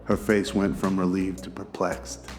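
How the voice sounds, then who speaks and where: A man's voice: low voice, flat delivery, measured pace, soft background music. A man narrating a scripted audio drama.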